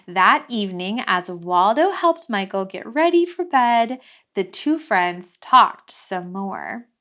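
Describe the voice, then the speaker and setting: A woman reading a picture book aloud for children in an expressive way, pausing to put questions to young listeners. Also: medium pitch. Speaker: children's read-along host. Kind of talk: animated picture-book storytelling.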